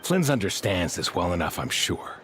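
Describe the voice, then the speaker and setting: An actor playing a weary, dutiful sergeant major in a video game, speaking in a formal, measured way with a gravelly voice. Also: male voice, low voice, sing-song, fairly bright tone, soft background music. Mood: weary, frustrated. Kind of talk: video game character acting.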